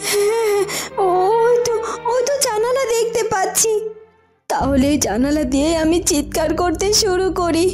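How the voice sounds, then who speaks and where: A woman's voice: very high-pitched, moderate pace. A woman voicing a frightened, bewildered young village girl held captive in a cartoon fairytale, tearful and plaintive. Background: loud music.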